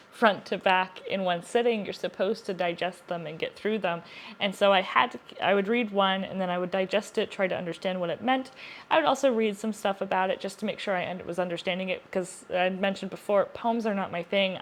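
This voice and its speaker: A woman's voice: mid-range voice, a little hiss or hum. A woman hosting a casual, chatty book review to camera, speaking earnestly and repeating words for emphasis. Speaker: book reviewer, video host.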